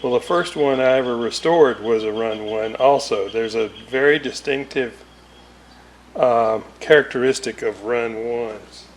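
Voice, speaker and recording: Slow-talking man, distant in a roomy space. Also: mid-range voice. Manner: hesitant, thinking aloud in broken phrases. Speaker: hobbyist radio restorer presenting a repair series. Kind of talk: casual unscripted technical explanation. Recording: roomy, distant mic, clear ambient noise.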